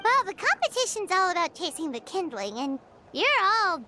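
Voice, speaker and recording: A woman voicing a tiny, chirpy female floating companion in a video game, squeaky and excitable, talking about herself in the third person. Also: normal speed, background noise. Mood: excited. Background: game music.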